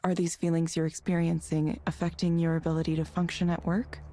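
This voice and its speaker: An actress playing a calm, measured counselor in a visual novel game, asking gently probing questions in an even, neutral manner. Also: female voice, fairly low pitch, close to an everyday voice.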